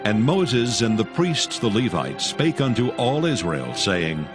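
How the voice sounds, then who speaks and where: A man narrating scripture in a grave, steady manner. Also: mid-range voice, a little slow. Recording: faint music beneath voice.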